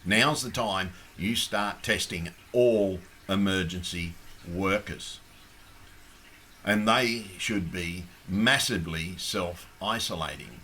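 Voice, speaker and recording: A croaky, hoarse Australian man making an impassioned, blunt appeal straight to camera, swearing heavily as he rants. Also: low-pitched, slow pace, bright, forceful tone, slight background noise, poor audio. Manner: blunt, ranting, heavy swearing. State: hoarse, losing his voice. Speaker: activist.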